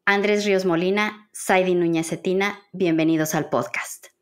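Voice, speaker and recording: Woman, podcast host, slightly low voice, prepared interview delivery. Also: fairly even intonation, moderate pace.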